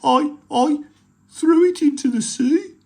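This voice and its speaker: A storyteller voicing a timid male troll in a children's storybook, with a small, high, shaky voice. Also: sing-song, measured pace. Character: timid male troll who hides in a chest. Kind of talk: storybook read-aloud.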